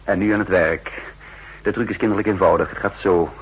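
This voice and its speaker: Low-voiced, commanding spymaster. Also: male voice, steady tone, fairly quick, breathy edge. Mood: brisk, commanding. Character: cool, commanding male spymaster turned double agent. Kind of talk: 1960s Dutch radio drama acting. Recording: noisy 1960s radio drama recording.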